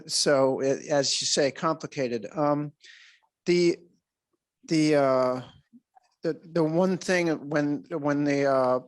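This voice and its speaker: A man interviewing a film director at a festival Q&A, full of ums and restarts as he frames his questions. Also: on the high side. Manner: hesitant, many ums and restarts.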